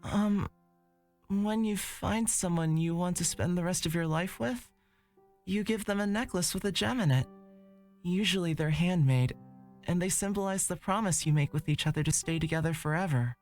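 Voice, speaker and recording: A woman voicing a gentle, bashful, romantic young man who explains things hesitantly and earnestly in a visual novel. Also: on the low side, moderate pace, faint music behind, voice pushed down, lightly acted. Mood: shy, earnest. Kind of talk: visual novel character read aloud.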